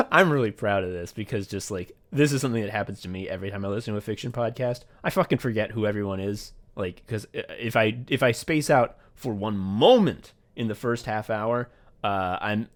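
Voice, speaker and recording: A man chatting casually as a podcast host, rambling and swearing as he retells things and quotes himself. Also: on the low side. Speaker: podcast host and audio drama writer. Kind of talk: casual behind-the-scenes podcast chat.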